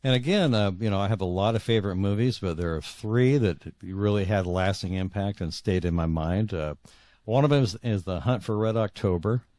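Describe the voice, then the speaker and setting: A man in his sixties speaking as a guest author in a reflective interview, earnest and halting, often repeating words as he searches for phrasing. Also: on the low side, warm tone, no echo. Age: 60s.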